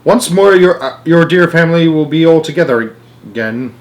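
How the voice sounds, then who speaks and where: A man voicing an excitable, eccentric candy maker from a storybook, breezy and theatrical, with whimsical emphatic phrasing. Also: a little high, moderate pace, pitched above the performer's own voice, close to an everyday voice. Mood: triumphant.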